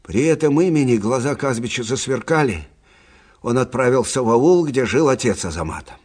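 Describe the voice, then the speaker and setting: A man's voice: mid-range voice, expressive, moderate pace, a little mellow, natural voice. An actor playing an older army captain who recounts his memories conversationally in a Russian radio drama. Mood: reminiscing. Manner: conversational, reminiscing storytelling.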